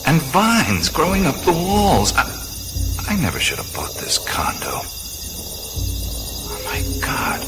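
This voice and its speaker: A man playing a wry, uneasy adventurer in a radio drama, muttering halting reactions. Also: fairly high pitch, wildly swooping pitch, exaggerated voice. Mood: alarmed, bewildered. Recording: voice over loud music bed.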